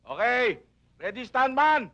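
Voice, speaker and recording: An actor playing a brisk film director calling the shots on set. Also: male voice, very high voice, big pitch swings, bright, forceful tone, exaggerated voice.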